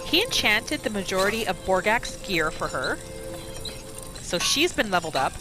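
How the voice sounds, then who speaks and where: A woman narrates a roleplay game as its host, over clearly audible music. Her pitch moves around in an animated way. She builds suspense with short phrases and pauses. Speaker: host of a gaming roleplay series. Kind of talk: storytelling gameplay narration.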